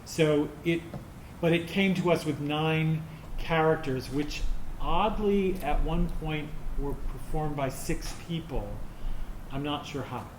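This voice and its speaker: A middle-aged or older man answering audience questions informally as a theater director, haltingly searching for words. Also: fairly high pitch. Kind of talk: informal post-show Q&A. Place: roomy indoor performance space. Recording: echoey room with clear background noise.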